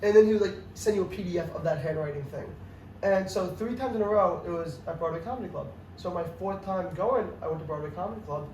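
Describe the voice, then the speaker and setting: A young man from the New York City area, a guest comedian, telling a story in casual chat, rambling and excitable with lots of restarts. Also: high-pitched, quick pace. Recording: echoey room, mic at a distance, faint noise.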